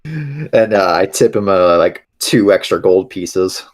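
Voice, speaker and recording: A man casually describing his game character as a player, informal and halting. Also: low-pitched, animated.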